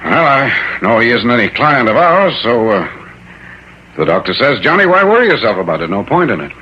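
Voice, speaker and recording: An actor playing an affable but fussy fishing buddy in a vintage radio drama, chatty, coaxing and easily exasperated. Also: male voice, mid-range voice, very animated, a little fast, lightly acted. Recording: dull vintage radio recording, faint noise.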